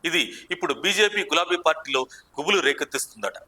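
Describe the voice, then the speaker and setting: A man's voice: a little high, fast talker, sharp tone. A man reads scripted political news in an emphatic, dramatic manner. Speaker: news narrator.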